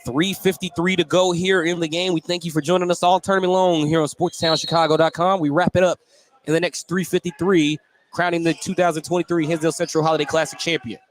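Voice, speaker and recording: Higher-voiced man calling live basketball. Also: level tone, fast talker. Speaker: play-by-play sports commentator. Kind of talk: live radio basketball play-by-play commentary. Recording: live gym broadcast with background noise. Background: gym ambience, bouncing basketball.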